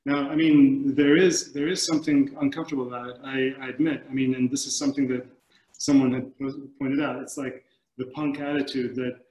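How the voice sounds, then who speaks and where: A man in his forties speaks in a thoughtful, halting way as he searches for words. His voice has an average pitch and comes over a video call from an echoey room at home. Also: flat delivery, darkish tone. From Middle East, born in Kuwait. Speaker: film director, guest. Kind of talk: thoughtful answers in a video panel discussion. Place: indoors, book-lined room at home. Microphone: computer mic on a video call.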